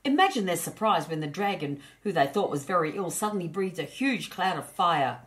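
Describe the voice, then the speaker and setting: A woman's voice: fairly low pitch, animated, brisk. A woman storyteller narrating a children's storybook.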